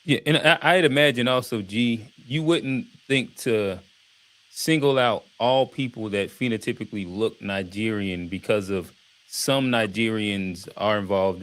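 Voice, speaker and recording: A man with a fairly low voice cuts into a panel discussion eagerly, restarting his sentences as he goes. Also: measured pace, fairly bright tone.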